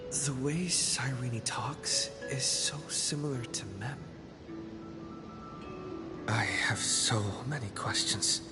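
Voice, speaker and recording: An actor playing a thoughtful young male traveler in a video game, worried and searching, in hushed, introspective monologue. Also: medium pitch, slow, pauses often, music in the background, natural voice. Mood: pensive, worried. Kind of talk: scripted game inner monologue. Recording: long reverb on the voice.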